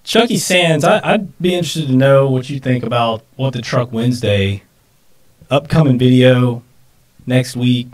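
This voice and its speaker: A man's voice: medium pitch, moderate pace, far from the mic. A man in his early forties chatting casually and off the cuff as co-host of a live stream at a desk microphone.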